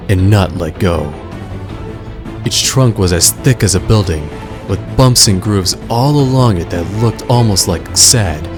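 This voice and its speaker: Man, fairly low voice, dramatic scripted narration, measured, suspenseful, loud music behind.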